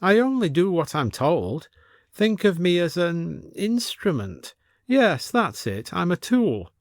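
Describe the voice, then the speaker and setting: A man voicing a stiff, plaintive Victorian gentleman with pompous, old-fashioned formal phrasing, in a storybook. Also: high voice, very animated, slow, dry recording, studio quality, pitched above the performer's own voice, full character voice.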